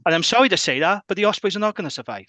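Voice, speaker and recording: A man's voice: sits high, expressive, very fast, sharp tone, no room sound. A man co-hosting a rugby podcast, making his points forthrightly and repeating them for emphasis. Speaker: podcast co-host. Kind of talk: casual rugby chat.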